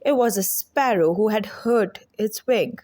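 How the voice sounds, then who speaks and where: A woman reading a children's fairy tale aloud as an expressive, deliberate storyteller. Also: medium pitch.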